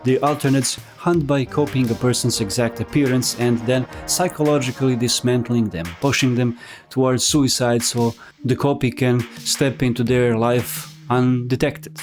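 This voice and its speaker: A man's voice: mid-range voice, steady tone, moderate pace. A man narrating a scripted explainer as a video essayist, with wry, conversational asides. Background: music.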